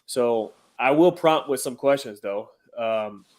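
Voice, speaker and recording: A man's voice: mid-range voice, takes it slowly, a little mellow. A man in his thirties hosting a podcast, chatting casually with slang and frequent "uh" fillers. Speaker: podcast host. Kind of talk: casual interview chat.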